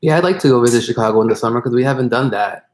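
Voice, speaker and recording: A man's voice: medium pitch, racing, darkish tone, roomy sound, a little hiss or hum. A man chatting casually on a live stream, reading out viewer questions.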